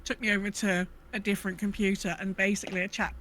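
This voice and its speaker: A woman co-hosting a podcast in casual, halting talk, often rephrasing as she goes. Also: mid-range voice, little pitch movement, fairly bright tone, slight background noise. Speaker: podcast co-host. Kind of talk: casual chat and informal news round-up.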